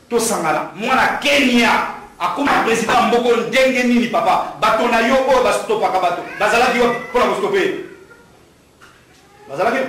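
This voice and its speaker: Congolese man speaking in an echoey hall. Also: fairly bright tone. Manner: rousing, rising to fervent preaching pitch when speaking to the crowd. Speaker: political candidate at a press conference. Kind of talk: press conference speech. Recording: echoey hall from far off, some noise.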